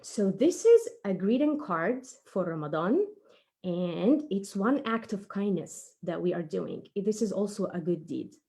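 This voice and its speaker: Animated woman presenting crafts for children. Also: mid-range voice, a little slow. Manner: playful, encouraging, talks to children as "we kids".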